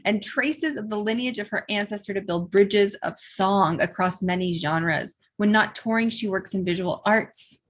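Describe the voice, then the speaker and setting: A woman hosting an online salon, reading a guest's introduction in a measured, listing cadence. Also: medium pitch. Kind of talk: reading an introduction on a video call. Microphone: video call.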